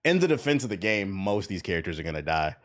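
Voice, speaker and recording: A man's voice: low-pitched, sing-song, fast talker. An American man doing casual live-stream reaction commentary in a slangy, profane way. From US East Coast. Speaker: streamer reacting to game trailers.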